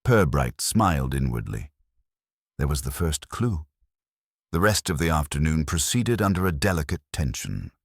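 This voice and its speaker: A man with an extremely deep voice narrates a scripted mystery story. His intonation moves about a good deal within a measured, literary delivery. The sound is close and dry, with little room to it. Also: no echo.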